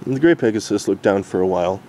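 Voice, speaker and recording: A man with a mellow voice reads a story aloud in an amateur style. The reading is halting, with stumbles over words, and there is faint noise behind it. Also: on the low side, expressive. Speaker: amateur fan-fiction reader. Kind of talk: story narration read aloud.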